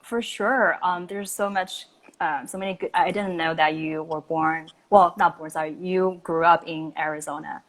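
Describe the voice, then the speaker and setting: A woman's voice: fairly low pitch, fairly even intonation, normal speed. A woman moderating a casual group chat in an upbeat, encouraging, slangy way.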